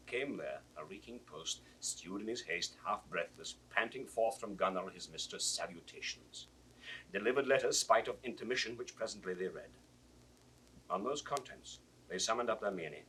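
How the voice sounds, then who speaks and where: An actor playing a loyal, plain-spoken servant, in firm, emphatic classical stage declamation. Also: male voice, sits low, fairly bright tone, husky, exaggerated voice. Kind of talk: classical Shakespearean stage acting. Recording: distant, hissy 1960s audio drama recording.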